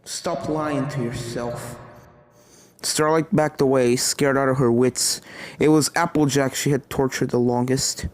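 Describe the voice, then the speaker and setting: A man's voice: mid-range voice, fairly even intonation, normal speed, dry recording. A man reading a horror story aloud as a dramatic narrator.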